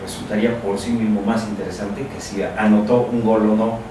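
Low-voiced Mexican man, heavy room echo. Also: fairly even intonation, brisk, dark tone. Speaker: radio host. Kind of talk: conversational radio commentary. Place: small radio studio, indoors. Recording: echoey, distant, noticeable background noise.

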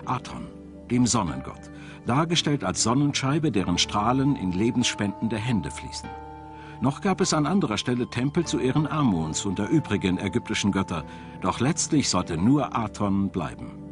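A man with a standard German accent narrating a scripted documentary in a measured, dramatic storytelling manner. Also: on the low side, sing-song. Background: background music.

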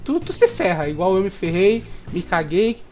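A young Brazilian man chatting excitably through his gameplay commentary and tossing in tag questions constantly. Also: high-pitched, moderate pace, soft background music. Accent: Brazilian Portuguese. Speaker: gaming video host.

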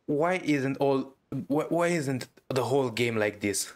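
A man's voice: mid-range voice, animated, measured pace, darkish tone, soft background music. A man reacting casually as he plays through a video game, commenting as a gaming YouTuber.

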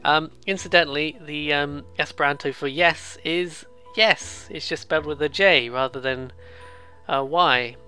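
A let's player giving casual, excitable, rambling game commentary, often interrupting themselves and reading dialogue aloud. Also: medium pitch, a little slow, smooth. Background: game music.

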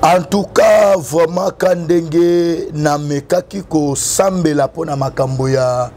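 A man's voice: fairly high pitch, takes it slowly, darkish tone, slight background noise. A young man from Congo speaking French with a Congolese accent, a prophet who preaches fervently in an interview, mixing in Lingala and sometimes half-singing. He is recorded outdoors under cover on a lapel mic. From Congo (DRC). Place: covered outdoor terrace, tiled wall.

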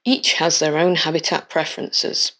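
A woman reading a scripted educational voice-over in a clear, measured, explanatory way. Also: low voice, little pitch movement, pushed and bright, rough recording. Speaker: science explainer narrator.

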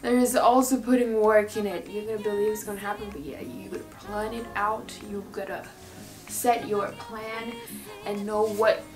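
A woman's voice: mid-range voice, steady tone, normal speed, a little mellow, soft background music. A young woman joining a casual bedroom vlog, teasing and joking, laughing between phrases. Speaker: guest co-host. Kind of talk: casual chat. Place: bedroom, indoors.

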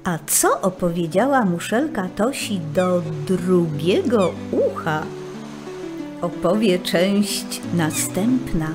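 A woman's voice: lowish voice, expressive, takes it slowly, music in the background. A woman narrating a scripted children's story.

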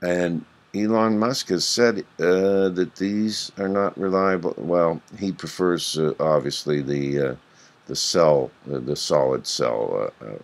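A man giving informal, unscripted news commentary in a halting, rambling way, often circling back to restate himself. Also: a bass voice. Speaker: video host commenting on business news.

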